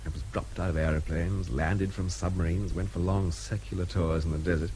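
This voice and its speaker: An actor playing a wry, war-weary intelligence captain in a radio drama, dry, clipped and self-deprecating. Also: male voice, low-pitched, little pitch movement, brisk, dark tone, lightly acted. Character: war-weary, wry British army intelligence captain, frank about fear. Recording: radio drama with faint background noise.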